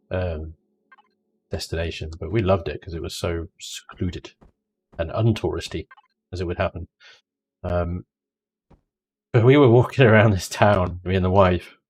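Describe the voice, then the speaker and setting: Chatty man, low voice. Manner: chatty, jokey, drifts into mock voices and laughter. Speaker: livestream host. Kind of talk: casual chat while gaming.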